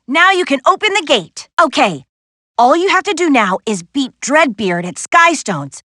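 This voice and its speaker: A young, capable American adventurer heroine from a cartoon video game. Her voice sits fairly high and swings widely in pitch, recorded close and dry. She guides with wry, sassy confidence and plenty of encouragement. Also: very animated, moderate pace, bright, forceful tone, no room sound, heavily acted. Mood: upbeat, encouraging. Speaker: video game character voice actress. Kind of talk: animated video game voice lines.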